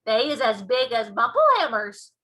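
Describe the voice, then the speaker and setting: A woman voicing a big friendly male giant from a children's storybook, folksy and excitable, with muddled grammar and made-up words. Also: fairly high pitch, moderate pace. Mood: boastful, excited. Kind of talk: children's storybook read-aloud.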